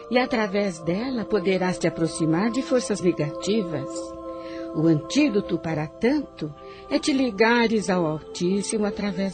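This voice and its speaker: A woman's voice: medium pitch, lightly acted. A woman playing a solemn, admonishing spiritual adviser in a radio drama monologue. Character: solemn female spiritual adviser warning against guilt. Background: music.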